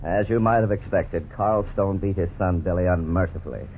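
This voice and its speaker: A man narrating a 1950s radio drama in a measured, grave manner. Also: sits low, faint music behind. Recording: tinny old radio broadcast, faint hiss.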